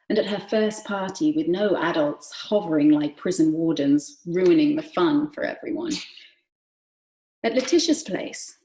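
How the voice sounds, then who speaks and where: A woman giving an expressive, dramatic literary reading that moves between hushed and emphatic delivery. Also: lowish voice. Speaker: actor reading from a novel. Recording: echoey room.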